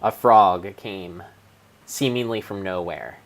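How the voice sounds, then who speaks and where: A man's voice: low-pitched, slow. A man narrating a video game like a dramatic storybook, solemn and theatrical, drawing out words for mock-epic effect. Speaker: streamer narrating own gameplay.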